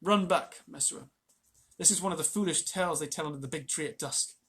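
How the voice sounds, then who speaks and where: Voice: medium pitch, a little fast, fairly bright tone, close to an everyday voice. A storyteller voicing a proud jungle boy who gives firm, scornful commands.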